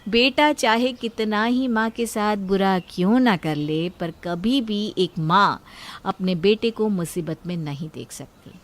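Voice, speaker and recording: A woman's voice: mid-range voice, lively intonation, unhurried. A woman narrating a scripted moral story as a storyteller.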